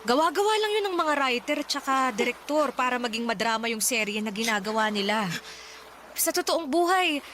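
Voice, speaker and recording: An actress playing a devoted, worried widowed mother in a Filipino radio drama, talking gently and reassuringly. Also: on the high side, lively intonation, moderate pace, slight background noise. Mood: earnest, comforting.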